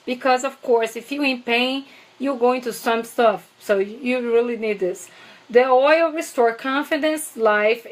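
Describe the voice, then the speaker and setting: A woman with a heavy Brazilian accent, chatting casually and eagerly about products in a vlog, with many fillers. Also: a little high. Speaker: essential oils seller.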